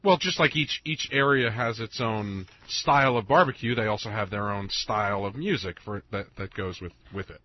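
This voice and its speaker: Low-voiced laid-back radio co-host man. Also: moderate pace, few pauses, highs cut off, a little hiss or hum. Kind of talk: casual radio chat.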